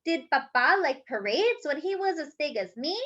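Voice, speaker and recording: Storybook kid played by a woman: young boy, earnest and polite, bright high voice. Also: expressive, moderate pace. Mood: hopeful questioning. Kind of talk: storybook character voice. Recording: dull lo-fi recording.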